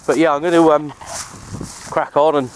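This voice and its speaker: A man's voice: on the high side, level tone, normal speed. Man vlogging outdoors, noisy audio. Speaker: vlogger, CB radio hobbyist. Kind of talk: casual outdoor vlog narration. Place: open hillside under trees, outdoors. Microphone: handheld camera microphone.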